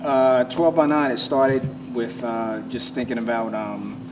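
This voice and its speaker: A man giving casual interview answers as a playwright and director, colloquial and thoughtful, feeling his way haltingly through explanations. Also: mid-range voice, distant mic. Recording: off-mic with clear background noise.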